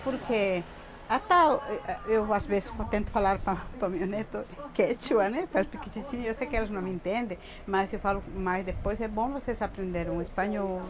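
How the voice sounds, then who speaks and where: An older woman from Bolivia with a Bolivian accent, talking haltingly in an interview, often repeating words and adding tag questions. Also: medium pitch. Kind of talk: casual interview talk.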